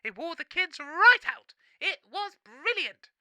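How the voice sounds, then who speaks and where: A woman's voice: very high voice, sing-song, a little slow, sharp tone, no room sound, studio quality, exaggerated voice. A woman playing a cheerful, unrepentant mother phoning in to a comedy radio show. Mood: blithely pleased. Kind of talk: scripted audio comedy acting.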